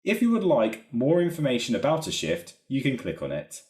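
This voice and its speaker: A man narrating a software training walkthrough in a methodical, explanatory way. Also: mid-range voice, wildly swooping pitch, brisk. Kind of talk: instructional screen-recording narration. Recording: roomy, echoey voice recording.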